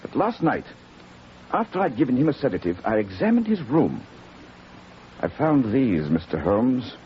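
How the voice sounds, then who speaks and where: An actor playing a worried, earnest country physician, speaking formally and a little hesitantly in 1940s radio drama style. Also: male voice, mid-range voice, big pitch swings, moderate pace, lightly acted. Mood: grave, urgent. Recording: dull, hissy old radio recording.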